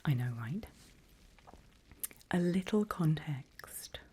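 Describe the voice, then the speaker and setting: A woman playing a seasoned demon mentor in a whispered ASMR roleplay, breathy and deliberate, playfully sinister and teasing. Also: low voice, lively intonation, mellow, heavily acted. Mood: ominous storytelling.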